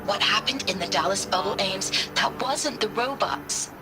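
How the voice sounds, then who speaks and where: A woman's voice: medium pitch, moderate pace, over loud music, natural voice. An actress playing an anxious, loving woman recording an intimate, plaintive message to her soldier partner. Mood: anguished. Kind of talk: dramatic voice-message monologue.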